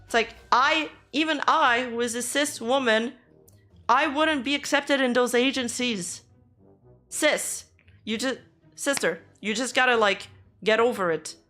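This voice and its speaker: A woman's voice: fairly high pitch, measured pace, sharp tone, soft background music. A woman VTuber streamer giving casual reaction commentary, blunt and sarcastic, swearing freely.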